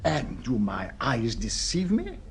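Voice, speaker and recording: An actor with an English accent playing a besotted, romantic gentleman in an old-fashioned radio drama, gushing and a little hesitant. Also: medium pitch, huge pitch swings, slow, vocal fry, breathy edge, full character voice. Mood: delighted.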